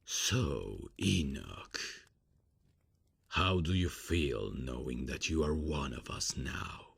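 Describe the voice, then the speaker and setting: Male, actor as domineering vampire patriarch, very husky and creaky, slow commanding menace for dark audio roleplay. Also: very deep voice, animated, heavily acted. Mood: taunting, commanding. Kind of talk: dramatic ASMR audio roleplay.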